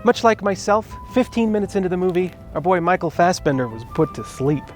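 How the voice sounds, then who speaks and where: A man's voice: sits high, animated, brisk. A man hosting a comedic movie review, reading scripted narration in a sarcastic manner. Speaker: host of a movie review show. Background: background music.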